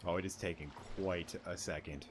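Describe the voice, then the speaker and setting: A man's voice: low voice, fairly even intonation, moderate pace, noisy background. A man giving casual let's play commentary, thinking aloud in fragments as he plays. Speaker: gaming streamer.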